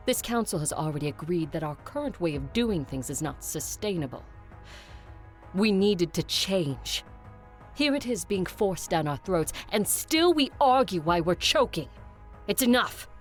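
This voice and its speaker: A woman playing a fed-up, forceful vampire conqueror leader arguing her case in an audio drama. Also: fairly low pitch, animated, moderate pace, lightly acted. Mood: exasperated. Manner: forceful, emphatic oratory. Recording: music under the voice.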